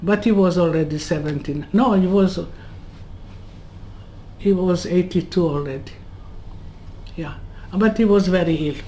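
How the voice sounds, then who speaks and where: Woman, 80s, from Serbia; very slow, halting non-native English with rambling asides; webcam interview from home. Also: fairly low pitch, warm tone, slight background noise. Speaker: Holocaust survivor interviewee. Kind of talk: personal life story told in an interview. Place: home room lined with bookcases. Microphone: webcam on a video call.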